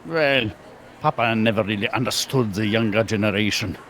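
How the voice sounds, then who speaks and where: A man's voice: lowish voice, normal speed, fairly bright tone, close to an everyday voice. An actor voicing a stern, proud, older immigrant father in a video game, with an emphatic, blustering manner. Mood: regretful.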